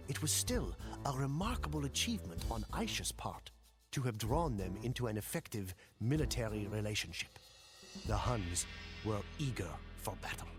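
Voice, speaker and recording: An actor playing a solemn priest who recounts old wars as a grave, measured, theatrical game narration. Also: male voice, mid-range voice, natural voice. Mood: grave, portentous. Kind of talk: dramatic scripted narration.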